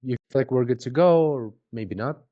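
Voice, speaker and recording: A man making a conversational sales pitch on an online call, informal and often checking in with questions. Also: normal speed, no echo, highs cut off. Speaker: sales representative for a marketing agency.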